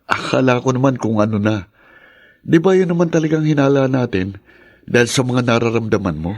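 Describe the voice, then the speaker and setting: A man plays a devoted young boyfriend in a radio drama. His husky, mid-pitched voice is soothing and earnest, recorded close and dry. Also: lively intonation, brisk, no echo, close to an everyday voice. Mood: concerned. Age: young adult. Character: reassuring, devoted young boyfriend promising marriage. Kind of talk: Filipino radio drama acting.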